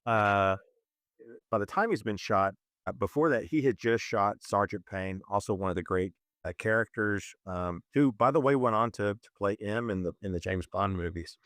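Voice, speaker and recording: Low-voiced man, halting film talk. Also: a bit bright, no echo, studio quality. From moved to Zambia at eleven, schooled in Kenya. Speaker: film podcast host. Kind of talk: conversational film discussion.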